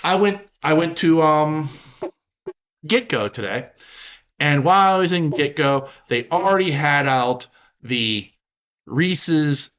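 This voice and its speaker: A man in his fifties hosts a casual podcast, talking in a wry, rambling way and making fun of himself. His voice sits a little high. The sound is dull and lo-fi. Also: expressive, slow pace, fairly bright tone. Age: 50s. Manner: wry, rambling, self-deprecating. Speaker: podcast host.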